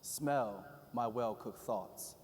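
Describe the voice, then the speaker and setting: A man in his early twenties performs spoken word poetry with a measured, rhythmic delivery and promotes his group to a conference crowd. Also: medium pitch, darkish tone, breathy edge. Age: 20s. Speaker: youth spoken word poet. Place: large event hall, live audience. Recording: echoey hall reverb.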